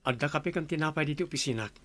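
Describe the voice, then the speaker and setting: A man's voice: a little high, rapid-fire, a bit bright. A man with an Ilocano accent playing a young office boss in a Filipino radio drama. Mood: polite, brisk.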